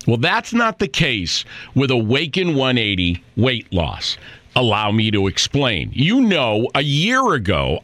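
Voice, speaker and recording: Animated higher-voiced American man, ad testimonial. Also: no echo. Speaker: advertising endorser. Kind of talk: conversational radio ad testimonial.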